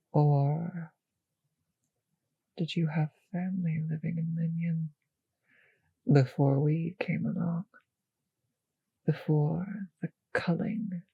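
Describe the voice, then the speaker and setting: Woman playing a breathy, possessive vampire mistress. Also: lowish voice, a little mellow, no room sound, highs cut off, heavily acted. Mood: mocking, coaxing. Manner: slow, condescending, teasing endearments, drifting into whispers. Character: possessive, refined vampire mistress, teasing and predatory. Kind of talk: intimate roleplay audio drama.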